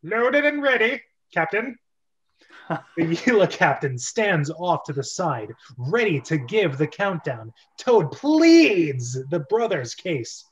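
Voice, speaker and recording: A man narrating a script read-through, casually reading stage directions in a halting way and correcting his misreadings. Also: fairly high pitch, very animated, dull audio.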